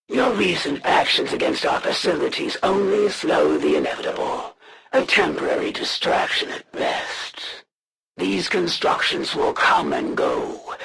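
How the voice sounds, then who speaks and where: A proud female alien warrior, a video game villain with cold disdain. Her voice is heavily creaky, and she delivers formal, condescending lines slowly, with wide swings in pitch. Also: fairly bright tone, a little hiss or hum, full character voice. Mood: haughty scorn. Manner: formal, measured, haughty, condescending. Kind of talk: scripted game character voice lines.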